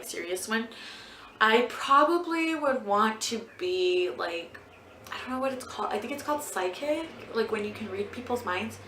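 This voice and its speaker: A woman's voice: medium pitch, a bit bright, slight background noise. A twenty-year-old woman answering viewer questions as a beauty vlogger in a casual, rambling way, full of ums and likes. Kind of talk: casual Q&A chat. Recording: echoey room.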